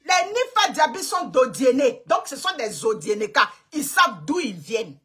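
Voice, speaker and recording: A woman's voice: sits high, big pitch swings, normal speed, a bit bright, slightly rough. A woman from Côte d'Ivoire delivering an emphatic, repetitive political monologue, lecturing her audience and hammering her points home. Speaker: online commentator. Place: home in Canada.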